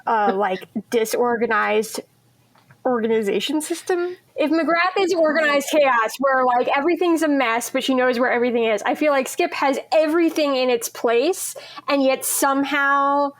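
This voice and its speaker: A woman's voice: sits high, moderate pace. A woman talking casually as a guest in an interview. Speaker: cast member interviewee. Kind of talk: casual chat.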